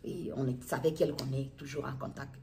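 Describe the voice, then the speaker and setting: A middle-aged woman with a Congolese accent from Kinshasa gives an unscripted personal account as a mother, speaking haltingly and repeating and restating her phrases. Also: very deep voice, level tone, creaky, dark tone, slight background noise. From Democratic Republic of the Congo. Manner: halting, repetitive, circles back to restate phrases. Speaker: mother making a public appeal video. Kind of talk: personal testimony to camera, unscripted recounting.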